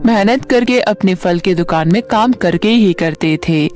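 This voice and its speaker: A woman's voice: mid-range voice, measured pace, a little mellow. A woman reading a scripted fairy tale for children as a storyteller. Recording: music bed under the voice. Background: background music.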